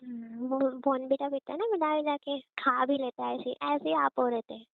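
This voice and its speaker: A woman's voice: high voice, steady tone, moderate pace, vocal fry. A woman playing a playful, affectionate and slightly stubborn young wife in a casual domestic audio roleplay, talking in an informal, teasing way. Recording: tinny phone-like band, roomy echo.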